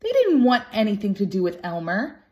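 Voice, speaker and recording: A woman reading a children's picture book aloud expressively and deliberately, as a storytime teacher. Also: mid-range voice, wildly swooping pitch, mellow. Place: small room at home, indoors. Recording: roomy home recording.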